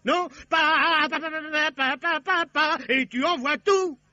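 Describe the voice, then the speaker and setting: Radio drama: flamboyant, fussy director, bright and high, exclaiming and coaxing, dry muffled archive sound. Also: male voice, slow, no room sound, full character voice. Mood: exasperated. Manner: theatrical, exclamatory, cajoling, sings trumpet cues. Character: fussy, excitable theatrical director, gushing with pet names. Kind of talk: French radio play acting. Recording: dull band-limited old radio recording.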